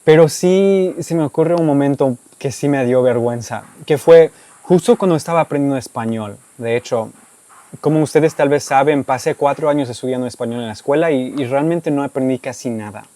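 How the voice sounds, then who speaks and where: A young American man from Texas, a native English speaker, speaking Spanish with an American accent. He answers viewer questions in a relaxed storytelling way, with restarts and soft laughs. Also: mid-range voice, brisk. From United States (Texas). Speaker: language-learning YouTuber. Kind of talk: casual Q&A monologue to camera.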